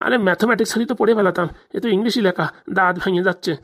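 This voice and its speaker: A woman's voice: medium pitch, rapid-fire, lightly acted. A woman playing a scheming, impatient moneylender father in a comic cartoon, blustering and insistent. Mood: coaxing, impatient.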